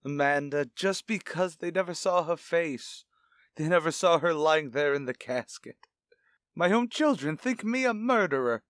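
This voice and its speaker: A man's voice: on the high side, animated, normal speed, a bit bright, no echo, studio quality. An actor playing an anguished, self-pitying widowed father in an old-time radio play, melodramatic and distraught. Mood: distraught, wounded.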